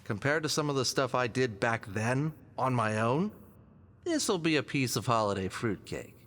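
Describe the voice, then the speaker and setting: A man's voice: mid-range voice, lively intonation, moderate pace, fairly bright tone, natural voice. A man playing a confident, wisecracking fox adventurer in an audio drama, quippy and self-assured in his delivery. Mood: resolved, breezy. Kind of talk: scripted audio drama dialogue. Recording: long hall-like reverb.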